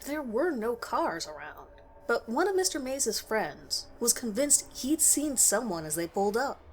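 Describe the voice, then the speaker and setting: A woman reading a scripted first-person horror story in a measured storytelling way with expressive emphasis. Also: on the high side, a little hiss or hum.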